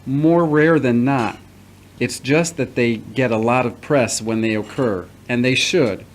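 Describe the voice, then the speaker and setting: An actor playing a patient, fatherly middle-aged priest in an audio drama, speaking in a measured, formal way. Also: medium pitch, close to an everyday voice. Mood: reassuring. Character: returning priest, patient, paternal, earnest, formerly accused. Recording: noticeable background noise.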